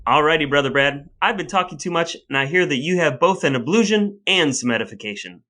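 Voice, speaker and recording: Male podcast host, bright projected tone, slightly high. Wry, mock-formal delivery for scripted intros and banter. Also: lively intonation, a little fast. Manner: wry, playfully formal and wordy.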